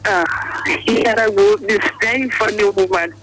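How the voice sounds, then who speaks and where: A man's voice: high voice, a little slow, over loud music. A man with a Nigerian accent chatting casually as a caller on a radio phone line. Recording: telephone line into radio broadcast.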